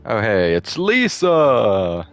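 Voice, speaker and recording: Very deep-voiced young man streaming games. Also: huge pitch swings, extremely slow, faint music behind. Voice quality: very low voice with vocal fry. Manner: laid-back, mumbling, swearing. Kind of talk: casual gaming stream chat.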